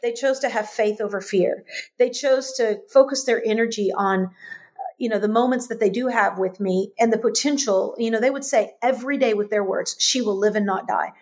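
A woman telling her life story as a guest, animated and repeating phrases for emphasis. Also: a little high, fairly quick. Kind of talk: conversational storytelling interview.

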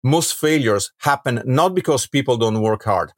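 A man's voice: medium pitch, expressive, normal speed, no echo. Italian man in a dry, close recording. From Rome, Italy. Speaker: podcast guest, entrepreneur and author. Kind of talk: conversational interview.

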